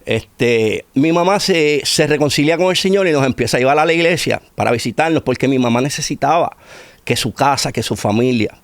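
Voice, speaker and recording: Man, middle-aged, Puerto Rican accent, gravelly voice, emphatic repetition, testimony, dry close sound. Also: mid-range voice, animated, a little fast, no echo. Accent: Puerto Rican Spanish. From Puerto Rico. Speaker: interview guest giving testimony. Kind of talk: personal testimony in conversation.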